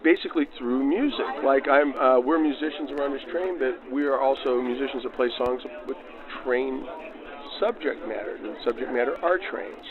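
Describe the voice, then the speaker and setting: A man's voice: mid-range voice, normal speed, over music. A man being interviewed as a musician, chatting in a loose, rambling, slangy way through an old radio filter. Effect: backdated 1940s radio filter. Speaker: jazz band musician, interviewee. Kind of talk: casual, spontaneous chat. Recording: tinny radio sound, busy crowd around.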